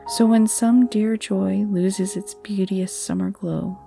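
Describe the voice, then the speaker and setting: A woman reciting poetry in a tender, measured and expressive way. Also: medium pitch, vocal fry. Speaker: poetry reader. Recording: music under the voice.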